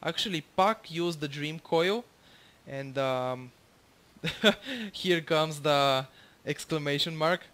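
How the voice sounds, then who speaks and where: A man's voice: on the high side, animated, slow, fairly bright tone. A young man from Bulgaria with a Bulgarian accent, casually commentating his own match, rambling with lots of fillers and the odd swear.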